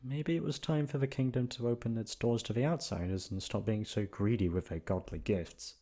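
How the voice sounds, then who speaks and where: A man's voice: medium pitch, normal speed, warm tone. A man narrating a scripted bedtime story as an ASMR storyteller.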